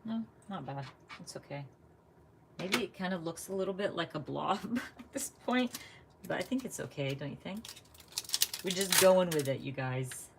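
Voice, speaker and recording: Low-voiced chatty American woman crafting. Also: expressive, moderate pace, pauses often, heavy background noise. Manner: chatty, thinks aloud while working, laughs often. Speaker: craft vlogger. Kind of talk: casual live craft chat.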